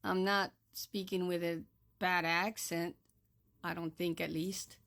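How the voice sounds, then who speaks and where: Slow, firm older woman from Texas. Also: on the low side, little pitch movement, vocal fry, fairly bright tone. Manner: firm, admonishing, deliberate. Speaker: social media creator. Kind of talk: direct-to-camera monologue.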